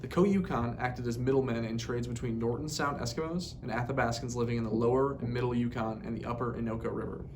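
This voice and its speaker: A man's voice: steady tone, fast talker, few pauses, darkish tone. A man reading a scripted educational narration in a formal way, recorded on a poor microphone. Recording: poor mic, clear rumbling background noise.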